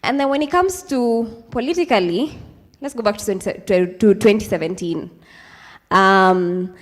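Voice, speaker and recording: A young Kenyan woman in her twenties, speaking to a live audience in a chatty, casual way, mixing in Swahili phrases and plenty of ums. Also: mid-range voice. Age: 20s. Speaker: speaker at a youth event. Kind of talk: informal talk with slides to a live audience. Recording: hall with long reverb.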